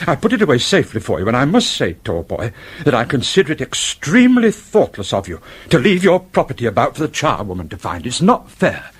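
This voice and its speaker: A man's voice: mid-range voice, slightly rough. A man acting in a radio drama as a fussy, self-righteous older copywriter, pompous and precise, with an English accent.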